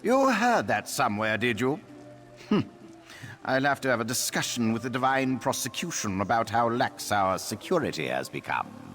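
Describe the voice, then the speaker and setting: A pompous sneering elf noble. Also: male voice, medium pitch, very animated, normal speed, fairly bright tone. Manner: pompous, sneering, mock-polite. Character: haughty condescending male high-elf noble, pompous. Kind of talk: video game voice acting. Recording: game audio with faint music.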